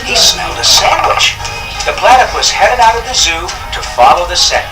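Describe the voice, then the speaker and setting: Animated male storybook narrator over music. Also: sits high, moderate pace, echoey room, distant mic, rough recording. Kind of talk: scripted children's storybook narration.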